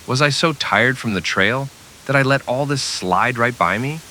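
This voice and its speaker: A man's voice: medium pitch, moderate pace, sharp tone, a little hiss or hum, rough recording. A man narrating a scripted old-time western radio drama with dramatic storytelling delivery.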